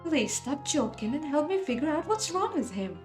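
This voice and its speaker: A woman's voice: sits high, sing-song, normal speed, a little mellow, voice pushed up. Lady doing a panicky young bride-to-be, visual novel, frantic and begging, music behind. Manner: frantic, urgent. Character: frightened, pleading young bride-to-be.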